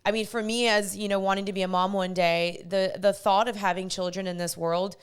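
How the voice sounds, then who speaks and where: Podcast host: young woman in her twenties, smooth clear voice, bubbly and chatty. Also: mid-range voice, flat delivery, fairly quick. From United States. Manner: bubbly, chatty, uses lots of "like". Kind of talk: casual podcast chat and intro.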